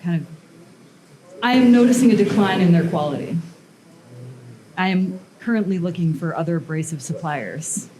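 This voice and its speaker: A woman's voice: on the low side, expressive, moderate pace, long pauses, warm tone, poor audio. A woman blacksmith and sculptor giving a live workshop demonstration to an audience, talking casually and thinking aloud with fillers and restarts. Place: metal workshop at a smithing event.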